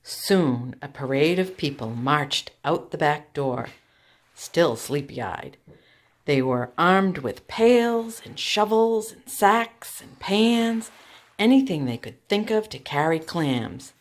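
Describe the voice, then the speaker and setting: A woman with a low-pitched voice reads a picture book to young children. She takes her time, at a very unhurried pace. Her phrasing is deliberate and expressive, in the manner of a storytime teacher.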